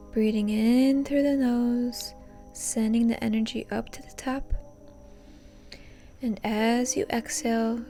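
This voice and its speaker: A woman leading a guided meditation in a soft, breathy, often near-whispered voice, calm and gently instructing. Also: a little high. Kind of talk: soothing guided meditation narration.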